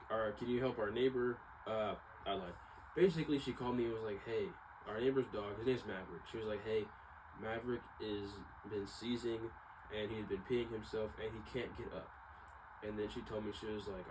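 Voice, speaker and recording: Low-voiced casual man with noisy background. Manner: informal, slangy, hesitant, reflective. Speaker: vlogger. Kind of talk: casual rambling personal monologue to viewers. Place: at home. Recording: clearly audible background noise.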